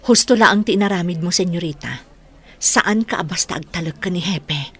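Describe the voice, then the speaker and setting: A loyal older woman speaks low and very huskily with an Ilocano Filipino accent. She is a concerned confidante in a radio drama. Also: moderate pace, husky. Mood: supportive.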